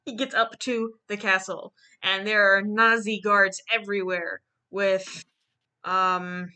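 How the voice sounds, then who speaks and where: A woman's voice: medium pitch, little pitch movement, takes it slowly, sharp tone, smooth. A woman casually presenting her own drawn storyboard in a joking, mock-earnest way, as the illustrator.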